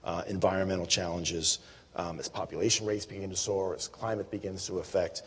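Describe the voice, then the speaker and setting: A man's voice: deep voice, little pitch movement, brisk, husky. A man moderating a panel discussion. He is polite and directive, with some fillers. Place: conference hall. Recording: long hall reverb.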